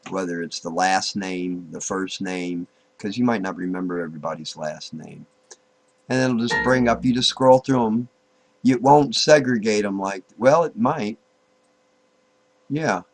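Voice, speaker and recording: A man giving an informal, unscripted software tutorial, thinking aloud, halting and repeating himself as he goes. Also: low voice. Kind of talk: informal screen-recorded tutorial, unscripted walk-through.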